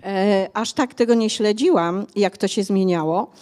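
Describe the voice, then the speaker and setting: Older female author, mellow and unhurried, fills pauses often while explaining. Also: mid-range voice. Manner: hesitant, many fillers, explanatory. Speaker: author guest. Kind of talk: conversational interview. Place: bookshop cafe stage, indoors. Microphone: handheld mic.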